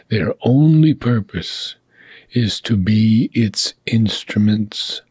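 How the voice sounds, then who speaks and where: Slow breathy man reading aloud. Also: lowish voice, darkish tone, no room sound. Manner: slow, measured reading with phrase ends sinking into whispers. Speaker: reader. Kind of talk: audiobook reading of a philosophical text.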